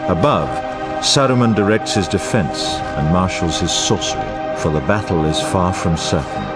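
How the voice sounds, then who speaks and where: An actor playing a grave, wise war narrator in a fantasy video game, with dramatic, archaic and formal delivery over music. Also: male voice, sits low.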